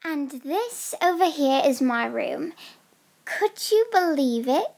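A woman's voice: sits high, lively intonation, slow pace, creaky, darkish tone, full character voice. A woman voicing a polite, old-fashioned girl hostess with formal, genteel speech in an amateur doll movie. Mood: welcoming. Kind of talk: amateur doll stop-motion voice acting.

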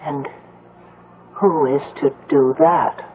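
An actress playing an anguished village woman in a radio mystery, speaking in a dramatic, halting way. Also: sits low, steady tone, breathy edge, full character voice. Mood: horrified. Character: anguished village woman, daughter of a suspected vampire. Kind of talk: 1970s radio mystery acting. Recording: muffled, narrow-band old radio broadcast.

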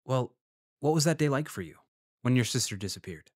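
An actor playing a polite, measured freelance journalist who narrates his investigation in a fiction podcast. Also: mid-range voice, lively intonation, no echo, studio quality, close to an everyday voice. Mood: polite, careful. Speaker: narrator and lead character.

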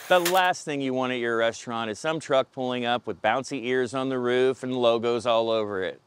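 A man's voice: mid-range voice, normal speed, slight background noise. A man in his fifties delivering scripted, plain-spoken instructional advice to camera as a pest control pro, outdoors on a lapel mic. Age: 50s. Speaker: pest control company representative. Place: outdoors in a parking lot beside a pickup truck. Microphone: clip-on lapel mic.

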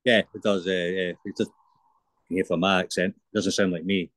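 A man's voice: low voice, flat delivery, moderate pace, fairly bright tone, dry recording. A man in his forties with an Edinburgh Scottish accent, a podcast guest giving brief, plain-spoken answers over a video call. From Scotland. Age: 40s.

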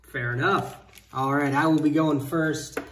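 A man in his thirties, a little high-pitched, talks excitedly as he reveals trading cards one after another. He sits at some distance from the mic in an indoor room, so his voice sounds a touch far off. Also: unhurried, a little hiss or hum. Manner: excitable, hyping each card pull. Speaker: co-host opening card packs. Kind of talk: casual card-opening chat.

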